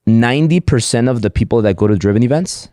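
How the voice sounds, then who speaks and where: A man's voice: medium pitch, animated, quick pace, dark tone, no echo, clean studio sound. A middle-aged man whose first language is Spanish, talking eagerly in a casual interview, often repeating words and restarting sentences. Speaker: podcast guest, entrepreneur.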